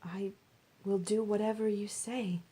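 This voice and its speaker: A woman's voice: mid-range voice, fairly even intonation, measured pace, dark tone, slightly rough. A woman voicing a humble, grateful young widow in a Bible story, deferential and earnest.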